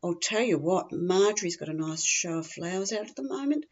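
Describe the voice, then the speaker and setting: Low-pitched Australian female gossip, performed by a woman, blithely tactless and rambling, for a comic storybook monologue, slightly dull lo-fi recording. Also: lively intonation, a little fast. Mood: chatty, blunt. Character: chatty, tactless, scatterbrained woman gossiping to a friend. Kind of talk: humorous monologue, performed reading.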